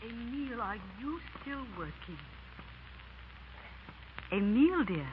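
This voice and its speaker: A tender, devoted woman of period drama, speaks gently and earnestly. The 1930s recording is muffled and narrow, with faint noise around her. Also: on the high side, sing-song, takes it slowly. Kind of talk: 1930s radio acting.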